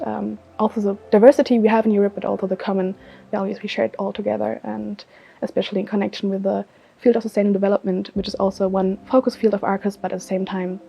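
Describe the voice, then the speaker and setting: A woman's voice: mid-range voice, vocal fry, breathy edge, dull audio. A young woman from Germany, a master's student, speaks in a hesitant, unscripted way with many fillers and restarts. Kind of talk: unscripted testimonial.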